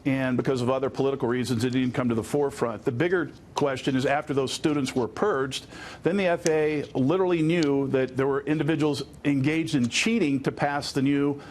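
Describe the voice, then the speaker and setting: A man in his fifties with an American accent, explaining as a guest in a live news interview, with frequent pauses. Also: medium pitch, fairly even intonation, darkish tone, a little hiss or hum. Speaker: interview guest, aviation attorney.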